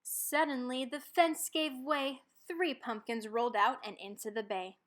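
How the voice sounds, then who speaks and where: A woman's voice: high-pitched, fairly even intonation, measured pace, fairly bright tone. A woman reading a rhyming children's picture book aloud, expressively and with dramatic emphasis on key words. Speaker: storybook reader.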